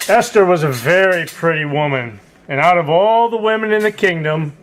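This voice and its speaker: A man narrating a children's puppet show as a storyteller, with simple, deliberate phrasing. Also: on the high side, a little hiss or hum, low-quality recording.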